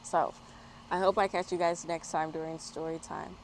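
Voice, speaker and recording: A woman hosting a children's story time, reading and chatting in an expressive manner. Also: low-pitched, low-quality recording. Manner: expressive, spells words out slowly. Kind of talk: children's picture book read-aloud. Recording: clear background noise.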